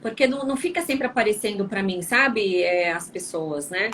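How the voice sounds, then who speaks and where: A woman's voice: medium pitch, moderate pace, fairly bright tone, slight background noise. A tired woman from Brazil hosting a casual live stream, answering viewers with lots of tag questions. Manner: chatty, frequent tag questions.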